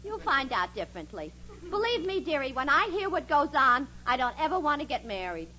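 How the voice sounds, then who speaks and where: A woman's voice: high-pitched, sing-song, brisk, pushed and bright, exaggerated voice. An actress playing a cynical, man-hungry hairdresser with brassy wisecracks in a 1940s radio comedy. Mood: wry. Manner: brassy wisecracks, calls everyone dearie. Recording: muffled noisy old radio recording, studio audience.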